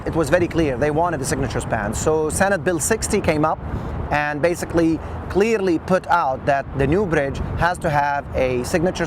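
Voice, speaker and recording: A middle-aged man recounts his work in an unscripted interview, hesitating often as he goes. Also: fairly high pitch. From Lebanon. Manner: halting, with frequent long hesitations. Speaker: engineer interviewee. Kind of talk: oral history interview, unscripted recollection. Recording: loud outdoor traffic noise.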